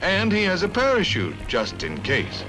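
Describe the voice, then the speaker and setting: A man's voice: high voice, huge pitch swings, normal speed, low-quality recording. An American man reading scripted 1950s newsreel narration in a dramatic, punchy announcer style on a vintage soundtrack. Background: music.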